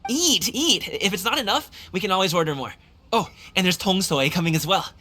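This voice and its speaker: A man's voice: high voice, lively intonation, brisk, bright, forceful tone, a little hiss or hum. A man voicing a friendly, earnest young male dancer in a video game, speaking in an easygoing, casual way. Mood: friendly, hospitable. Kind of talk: video game character acting. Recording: faint game music behind the voice. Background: faint game music.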